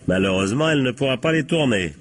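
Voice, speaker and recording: A man playing a shrewd, ironic police captain who deliberately corners suspects in a French radio crime drama. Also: mid-range voice, a little hiss or hum, close to an everyday voice. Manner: deliberate, ironic, teasing rhetorical questions.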